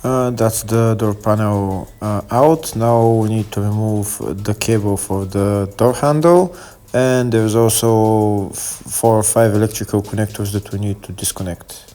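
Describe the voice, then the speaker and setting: A man narrating a step-by-step car repair tutorial in a halting way, pausing mid-sentence. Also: fairly low pitch, steady tone, warm tone.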